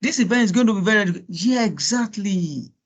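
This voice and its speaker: High-pitched Nigerian man coaching. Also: animated, moderate pace, highs cut off. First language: Yoruba. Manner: encouraging, repeats himself, rhetorical questions. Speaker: guest speaker coaching students on LinkedIn profiles. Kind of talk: informal online workshop coaching.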